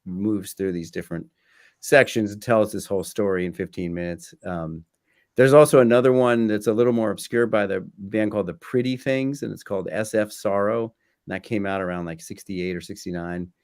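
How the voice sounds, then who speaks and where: A man with a slightly deep voice talks informally as a guest in an interview. His delivery is halting, and he often doubles back on his phrases. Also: a little mellow. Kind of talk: casual conversational interview.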